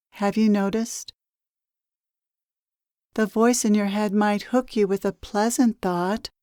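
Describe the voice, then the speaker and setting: Slow, smooth-voiced woman guiding meditation. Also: medium pitch, no room sound, clean studio sound. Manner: soothing, measured, gently instructive with pauses. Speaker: meditation guide, spiritual channeler. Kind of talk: guided meditation, channeled spiritual teaching.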